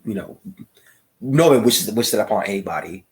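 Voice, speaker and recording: A man co-hosting a podcast, explaining things casually and haltingly with many fillers. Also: lowish voice, lively intonation, darkish tone. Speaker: podcast co-host. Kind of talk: casual discussion.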